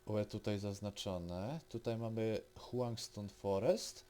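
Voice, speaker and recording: Slow-talking Polish man, casual commentary. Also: fairly low pitch, fairly even intonation, warm tone. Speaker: gaming streamer. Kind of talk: casual let's-play commentary.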